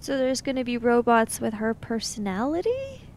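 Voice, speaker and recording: A woman's voice: fairly high pitch, sing-song, moderate pace, darkish tone, a little hiss or hum. A woman improvising in-character talk in a tabletop roleplaying game, with a questioning, skeptical manner.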